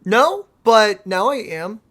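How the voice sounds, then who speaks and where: A woman's voice: mid-range voice, big pitch swings, slow, a bit bright. A young woman giving casual, joking and exclamatory commentary as a let's play host.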